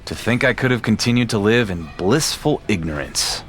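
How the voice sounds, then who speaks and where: An actor playing a young champion wrestler who talks in a dry, sarcastic way. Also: male voice, fairly low pitch, animated, normal speed, fairly bright tone, faint music behind.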